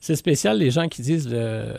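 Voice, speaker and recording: Male Québécois radio host, playful, jokey, lively up-and-down delivery, dry studio sound. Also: mid-range voice, moderate pace, vocal fry, no room sound. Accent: Québécois French. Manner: chatty, joking, playful questions. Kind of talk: casual radio interview.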